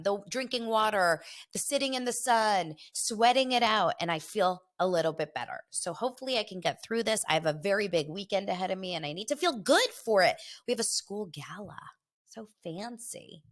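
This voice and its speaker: A middle-aged woman hosting her podcast in a casual, gossipy chat, a little congested from a head cold. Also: mid-range voice. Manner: chatty and gossipy, quick asides. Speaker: podcast host.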